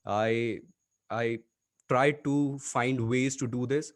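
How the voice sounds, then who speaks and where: A podcast host, a man whose first language is Hindi, asks his questions in a mid-range voice. He hesitates often and fills the pauses with "uh" before he finds his words. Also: medium pitch, steady tone. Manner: hesitant, many "uh" fillers and restarts. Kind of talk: casual podcast interview.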